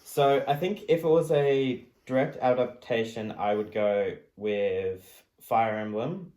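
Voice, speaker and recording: A man's voice: medium pitch, slow, dark tone. A man speaking as a panelist in a casual group discussion. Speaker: podcast panelist. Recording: echoey room sound.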